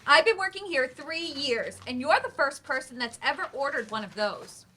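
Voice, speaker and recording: A woman in a staged play reading, acting a brisk, chatty, good-hearted bartender and night manager. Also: sits high, lively intonation, bright, forceful tone, slight background noise. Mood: friendly, chatty.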